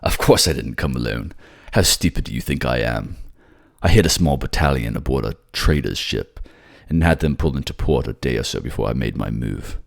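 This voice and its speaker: A man's voice: very deep voice, lively intonation, fairly quick, gravelly, heavily acted. A man playing a brooding, commanding warlord king in a fantasy audio drama, curt and proud. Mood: scornful. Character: gruff, menacing, scornful warlord king, fiercely protective lover.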